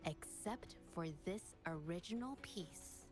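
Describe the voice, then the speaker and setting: An actress playing a firm, composed young female investigator pleading a case in a video game, measured and earnest. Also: fairly low pitch, lively intonation. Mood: probing. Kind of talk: dramatic video game voice acting. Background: game music.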